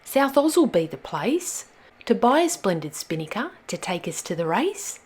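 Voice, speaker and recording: A woman voicing a plucky young female dingo pup in a children's storybook, brisk and encouraging. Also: mid-range voice, sing-song, darkish tone, breathy edge. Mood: decisive.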